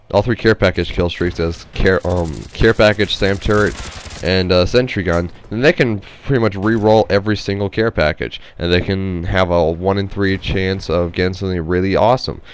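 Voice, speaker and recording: Low voiced guy doing rambly game commentary, stuffed up nose, sounds sick, some noise and game gunfire behind him. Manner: rambling, hesitant, trailing off. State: sick with a stuffy head cold. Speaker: gameplay commentator. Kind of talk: casual unscripted game commentary.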